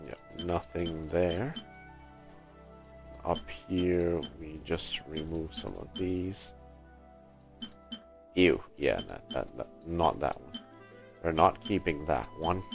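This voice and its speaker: A man's voice: deep voice, slow pace, gravelly, pauses often. A man livestreaming a video game with casual commentary, thinking aloud in short remarks. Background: game music.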